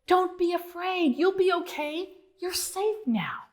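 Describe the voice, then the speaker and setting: A woman voicing a loyal, expressive male dog adventurer in a children's storybook, dramatic and exclamatory. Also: very high-pitched, slow pace, pitched above the performer's own voice, full character voice. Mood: reassuring.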